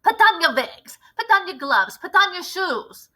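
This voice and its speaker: A woman voicing an imperious, gloating Grand High Witch with a theatrical, deliberate, commanding delivery in a storybook reading. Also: very animated, sharp tone, full character voice.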